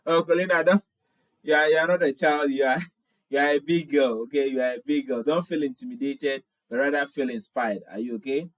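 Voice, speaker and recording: A man's voice: mid-range voice, fairly quick, no echo, low-quality recording. A man in his twenties from Ghana with a Ghanaian accent, hosting a casual livestream, reading viewer comments aloud and answering them in a friendly way, often repeating himself. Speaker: livestream host.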